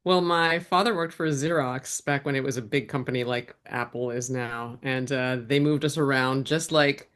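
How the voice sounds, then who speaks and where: A woman's voice: very deep voice, moderate pace, few pauses, a bit bright. A woman answering interview questions as a guest, in a reflective way with frequent restarts. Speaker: guest, actor and writer.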